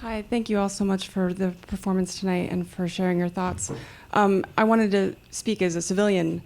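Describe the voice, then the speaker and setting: A woman speaking from the audience at a live discussion, giving reflective personal testimony in halting phrases. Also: mid-range voice, fairly even intonation, darkish tone, a little hiss or hum. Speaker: audience member. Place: live event space with an audience.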